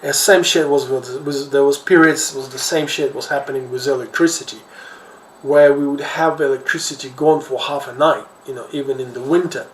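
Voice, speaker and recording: A man's voice: medium pitch, fairly even intonation, moderate pace. Echoey, distant man with a Ukrainian accent. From Kherson, Ukraine. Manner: rambling, conversational, repeats words while searching for phrasing. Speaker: social commentator, host. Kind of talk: informal off-the-cuff news commentary. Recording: echoey room, distant mic, faint noise.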